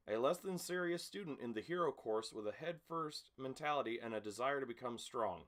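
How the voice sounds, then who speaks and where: A man chatting casually to camera as an amateur voice actor, rambling in a hesitant, self-conscious way. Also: mid-range voice. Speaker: amateur voice actor recording an audition video. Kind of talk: casual vlog chat. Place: small home room with a mattress against the door.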